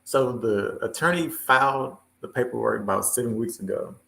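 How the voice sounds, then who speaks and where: Gravelly low-voiced man asking questions. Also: normal speed, bright, forceful tone. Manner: polite, hesitant. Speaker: student caller. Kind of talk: asking questions on a coaching call.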